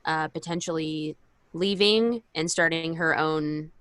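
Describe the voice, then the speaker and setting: A woman's voice: low-pitched, measured pace, fairly bright tone, no room sound, clean studio sound. A woman co-hosting a podcast in casual chat, speaking informally and with enthusiasm. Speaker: podcast co-host.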